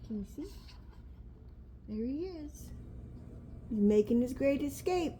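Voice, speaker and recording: A woman's voice: high voice, lively intonation, takes it slowly, dark tone, slight background noise. An older woman, a grandmother reading a storybook aloud to a child in a homely, chatty way. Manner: homely, chatty, pointing things out.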